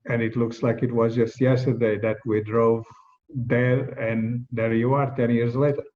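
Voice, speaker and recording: A man in his sixties from Portugal with a Portuguese accent, calmly recounting his life story as a podcast guest, with frequent "uh" pauses. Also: medium pitch. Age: 60s. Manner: measured, steady, pauses often with "uh". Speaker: guest, host's father. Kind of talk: reflective storytelling. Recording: dull lo-fi audio.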